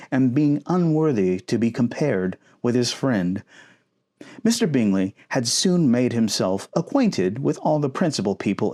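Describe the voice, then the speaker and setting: A man reading a classic novel aloud as expressive storybook narration for an ASMR recording. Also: medium pitch, slightly rough. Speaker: audiobook-style narrator.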